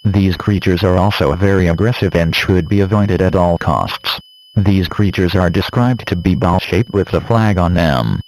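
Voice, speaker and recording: Low-voiced man reading an emergency alert. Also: normal speed, a little mellow, poor audio. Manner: formal, impersonal, synthetic delivery. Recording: faint hum and noise.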